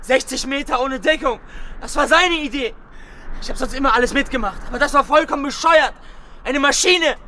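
A bitter cornered soldier in a radio play, really high sharp voice, coarse and defiant, bit of noise behind. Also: male voice, fairly quick, exaggerated voice. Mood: furious. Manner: coarse, blurting in short bursts. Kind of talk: naturalistic German radio drama acting.

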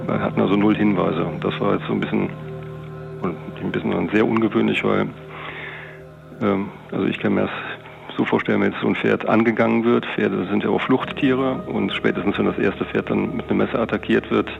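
A man's voice: on the low side, steady tone, brisk, creaky. A middle-aged man recalling events as an investigator in an unscripted interview, rephrasing himself as he goes. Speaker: police investigator recounting a case. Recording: dull lo-fi sound, music underneath. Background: music bed.

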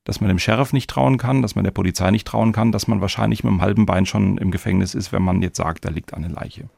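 A man moderates a podcast in an informal conversational style. His voice is lowish and extremely creaky, recorded dry and close. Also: fairly even intonation, quick pace, a little mellow, dry recording. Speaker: podcast host.

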